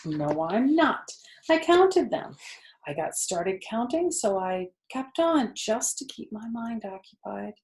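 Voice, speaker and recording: A woman voicing an aging female spider for a children's storybook, weak and quiet, dignified and resigned. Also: fairly high pitch, sing-song, takes it slowly, full character voice. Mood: proud, patient.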